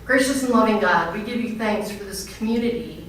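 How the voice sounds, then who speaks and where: A woman's voice: mid-range voice, brisk. A woman pastor with a big, projecting voice, speaking to a gathering. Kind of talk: informal remarks and spoken prayer to a gathering. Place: large echoing indoor banquet venue. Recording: very echoey hall, distant mic, faint noise.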